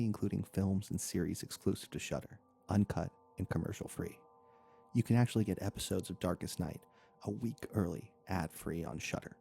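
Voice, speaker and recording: Deep, gravelly, husky male announcer. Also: dark tone. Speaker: podcast announcer. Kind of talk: scripted sponsor read and credits.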